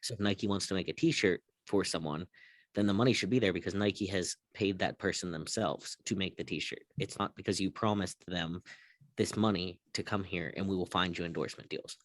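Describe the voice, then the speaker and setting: A man with a very low voice, recorded dry and close, chats on a sports panel. His talk is informal and a little self-deprecating, loosely phrased like a conversation among friends. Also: a little fast, dry recording. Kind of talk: casual chat.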